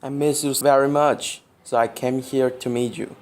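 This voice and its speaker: A man's voice: medium pitch, fairly even intonation, normal speed, a little mellow, close to an everyday voice. A man playing a friendly young man, a younger brother in love, with simple scripted delivery in an amateur radio play. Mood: warm. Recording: faint background noise and ambience.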